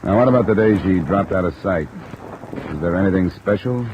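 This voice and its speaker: A man's voice: low voice, brisk, background noise. An American man playing a hard-boiled, wry insurance investigator in an old-time radio drama, speaking in a clipped, matter-of-fact way. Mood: businesslike, dry. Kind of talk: old-time radio detective acting and narration. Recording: muffled vintage radio recording. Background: music and steady rain.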